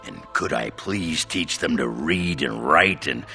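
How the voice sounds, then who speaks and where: A gruff male dwarf warrior for a fantasy game. The voice is extremely deep and thick with gravel, slow and crude, swinging widely as he rambles bluntly. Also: animated, pushed and bright, soft background music, exaggerated voice. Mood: gruff, uneasy. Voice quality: gravelly, growling. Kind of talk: video game voice acting.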